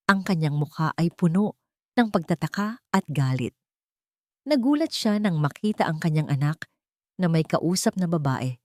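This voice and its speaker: A woman with a fairly low voice narrates a scripted drama. She tells it in a dramatic storytelling style, recorded close and dry. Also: dark tone, no echo.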